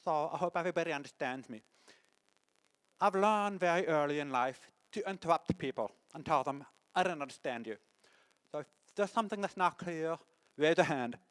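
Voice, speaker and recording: A deaf man with a mixed French and English accent gives a conference talk, speaking haltingly with many restarts. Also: a little high, animated, clean tone. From born in the United States, lives in France. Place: conference hall.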